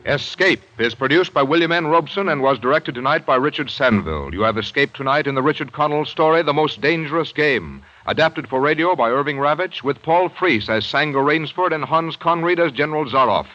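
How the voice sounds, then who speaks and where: A man's voice: a little high, lively intonation, a little fast, hardly any pauses, pushed and bright. A man reading show credits and a sign-off as a formal radio announcer. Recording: dull old radio broadcast with music.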